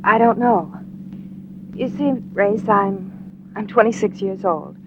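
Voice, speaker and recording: Poised young society wife. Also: female voice, mid-range voice, slow pace. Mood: guarded. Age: 20s. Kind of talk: 1940s radio acting. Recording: dull, noisy vintage radio recording.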